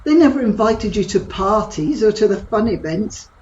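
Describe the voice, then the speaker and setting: A woman's voice: medium pitch, lively intonation, normal speed, darkish tone, dull audio, slight background noise, lightly acted. A woman playing Gabby, a cheeky, provocative middle-aged friend who mocks and prods with blunt humour in an audio drama. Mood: scornful. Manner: teasing, blunt and mocking.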